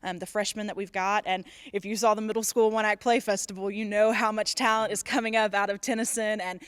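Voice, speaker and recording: A woman's voice: mid-range voice, little pitch movement, a little fast, fairly bright tone, clean tone, no room sound. A woman speaking enthusiastically as a school theatre director giving interview answers.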